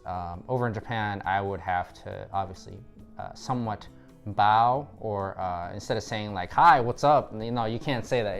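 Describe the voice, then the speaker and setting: A man giving casual interview answers, loose and full of fillers. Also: fairly low pitch.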